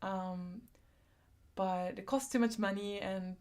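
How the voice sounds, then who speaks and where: A woman's voice: mid-range voice, steady tone, slow pace, darkish tone. A young woman from San Diego with a lisp, chatting casually on a live stream, reading chat comments aloud and laughing often. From San Diego, USA.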